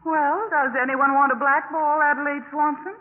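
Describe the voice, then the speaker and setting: Brisk, very high-voiced young sorority leader, actress. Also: female voice, steady tone, crowd noise, close to an everyday voice. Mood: brisk, official. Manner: businesslike, firm. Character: brisk young sorority president chairing a vote. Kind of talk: 1950s radio drama acting. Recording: tinny radio recording, echoing hall.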